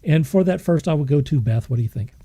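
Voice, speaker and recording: A man's voice: a little high, lively intonation, fast, mellow, husky. A man hosting a podcast, casually moderating a panel and putting questions to guests. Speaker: podcast host.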